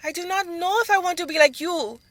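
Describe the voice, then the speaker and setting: A woman's voice: extremely high pitch, a little fast, fairly bright tone, no echo, voice pushed up. A woman voicing an earnest, hesitant younger sister, a village girl in an audio drama. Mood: frustrated.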